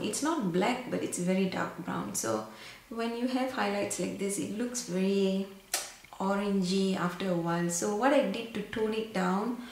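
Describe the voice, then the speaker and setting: A young woman from Singapore chatting to camera in a casual vlog, full of fillers and restarts. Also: medium pitch. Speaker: makeup vlogger. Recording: very echoey room, faint background hiss.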